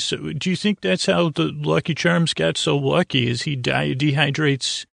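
Man acting out a doting married partner, creaky, dry close sound, musing, affectionate, halting, comic podcast skit. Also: medium pitch, dry recording. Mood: curious musing. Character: well-rested, doting, dim half of married couple at breakfast. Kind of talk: improvised comic dialogue.

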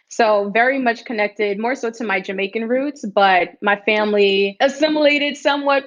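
A woman's voice: medium pitch, a bit bright, highs cut off. A young woman from New York City with an American accent, speaking candidly and reflectively as a guest in a casual interview. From New York City, United States. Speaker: interview guest, startup founder.

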